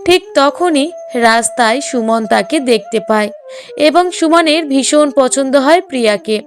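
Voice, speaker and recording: A woman's voice: sits high, lively intonation, moderate pace, soft background music. A woman reading scripted narration for a cartoon story.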